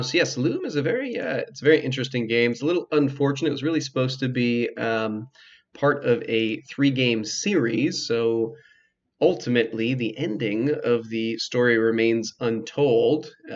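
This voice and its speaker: A man's voice: medium pitch, vocal fry. Casual man commentating, slightly muffled mic. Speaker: gameplay video host. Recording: slightly dull lo-fi mic, clicking.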